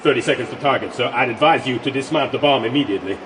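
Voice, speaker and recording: A man's voice: mid-range voice, racing, lightly acted. An actor playing a dutiful, respectful and anxious young military airman in a radio play. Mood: worried. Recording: distant, very noisy aircraft drone.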